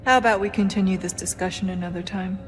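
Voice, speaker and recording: A woman acts out a poised female secret agent for a video game. She sounds smooth, guarded and slyly teasing. Her voice rings in a long hall reverb with music clearly playing behind it. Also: medium pitch, fairly even intonation, close to an everyday voice. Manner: smooth, sly, unhurried teasing delivery.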